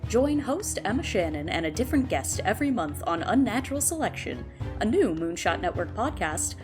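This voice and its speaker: A woman's voice: medium pitch, sing-song, a little fast. A woman narrating a scripted podcast promo in a playful, theatrical way. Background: loud music.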